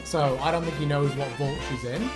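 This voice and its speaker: A woman co-hosting a casual chat about fan theories, animated, giggly and swearing freely. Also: deep voice, fairly quick, over loud music. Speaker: video co-host.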